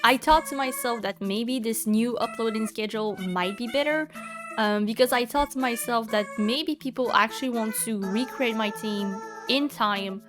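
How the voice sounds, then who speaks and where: A woman's voice: a little high, normal speed, over music. A young woman from Quebec with a Quebec French accent, speaking English as a second language in a chatty voice-over, full of ums and likes. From Quebec, Canada. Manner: chatty, many ums and likes, laughs often. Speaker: bullet journal YouTuber. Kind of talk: casual voice-over commentary.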